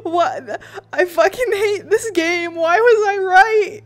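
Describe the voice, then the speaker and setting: A woman's voice: very high-pitched, slow pace. A woman streamer giving casual reactions as she plays a video game. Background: game music.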